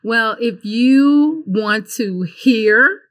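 A woman's voice: fairly high pitch, lively intonation, drawn-out words. A woman hosting a church podcast, interviewing a guest, often affirming him, and announcing an event. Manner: affirming, frequent short interjections. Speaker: podcast host.

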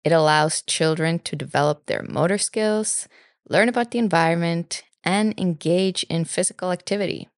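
A woman from Finland in her thirties, a native Finnish speaker, co-hosting a podcast with calm, explanatory reading. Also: fairly low pitch, moderate pace, dry recording, clean studio sound. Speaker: podcast co-host. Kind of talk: scripted podcast narration.

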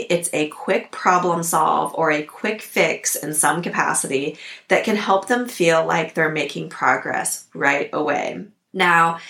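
A woman hosting a podcast, hoarse from a cold, giving upbeat, practical coaching tips. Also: lowish voice, fairly bright tone, echoey room. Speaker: podcast host. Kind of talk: instructional podcast talk.

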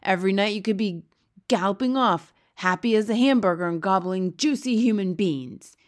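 Storybook villain: greedy, brutish giant man, acted by a woman, loud, sneering, garbled grammar. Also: medium pitch, normal speed, lightly acted. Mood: outraged, scornful. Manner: bellowing, sneering, mangled grammar and made-up words. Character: brutish, gluttonous, bullying man-eating male giant. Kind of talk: storybook character reading.

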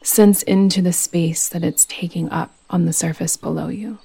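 A woman leading a guided meditation as a yoga nidra teacher, speaking in a soothing, gentle, unhurried manner. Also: lowish voice, steady tone, creaky, slightly rough.

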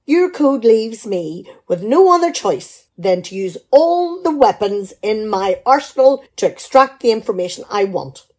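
A woman's voice: a little high, big pitch swings, measured pace, hardly any pauses. A middle-aged woman, playing a shrewd, teasing, practical wife in a radio play. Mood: teasing, determined. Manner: dry, bantering, probing. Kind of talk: amateur radio play dialogue.

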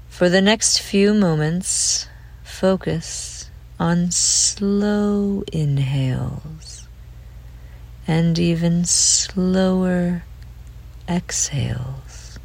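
A woman leading a guided relaxation meditation in a gentle, soothing way, with phrase ends trailing off into breath. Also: low-pitched, creaky.

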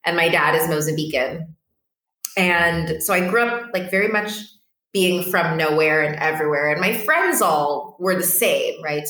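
Low-ish reflective woman coach chatting, says like a lot, roomy echo. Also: a bit bright. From born in Portugal, raised in Ethiopia. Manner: reflective, lots of "like" and "right?". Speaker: podcast guest, empowerment coach. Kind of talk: casual interview talk.

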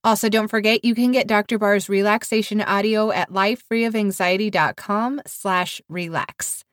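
A woman's voice: medium pitch, steady tone, moderate pace, a bit bright, no room sound, clean studio sound. A woman co-hosting a podcast in casual chat, a little nasal from a cold, chatty and giggly. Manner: chatty, giggly, self-deprecating. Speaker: podcast co-host.

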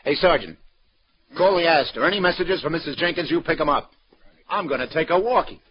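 A man's voice: fairly high pitch, lively intonation, brisk, muffled recording, a little hiss or hum, lightly acted. An American man playing a world-weary, streetwise police detective in a 1940s radio noir drama, with clipped slangy delivery. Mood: businesslike. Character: world-weary hardboiled male police detective narrating his beat. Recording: band-limited 1940s radio recording, music behind.

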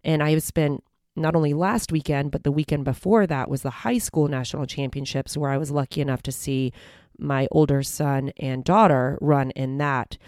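Woman, forties, slightly hoarse, low-pitched, upbeat and encouraging, dry close-mic sound. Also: warm tone, no room sound. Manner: bubbly and encouraging. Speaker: podcast host. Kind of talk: upbeat self-help podcast talk.

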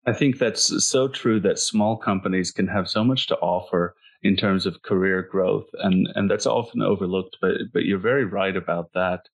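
Male interviewer, lowish husky voice, supportive, lo-fi audio. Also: steady tone, moderate pace, few pauses. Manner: encouraging, repeats words when starting. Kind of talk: casual interview chat. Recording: dull lo-fi sound.

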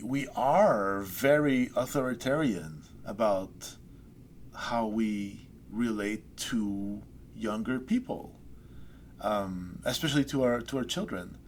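A low-pitched middle-aged man talks slowly and thoughtfully about his own poem. He searches for words and hesitates often. A faint hiss sits under the recording. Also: bright, forceful tone. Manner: thoughtful, hesitant with many fillers. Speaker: poet guest. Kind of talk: poetry reading and interview.

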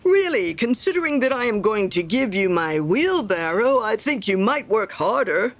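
A woman's voice: medium pitch, very animated, moderate pace, lightly acted. Woman acting a pompous, preachy storybook miller, emphatically lilting. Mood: smug, lecturing. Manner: emphatic, sanctimonious lecturing. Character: pompous, self-satisfied, preachy male miller. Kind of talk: storybook character reading.

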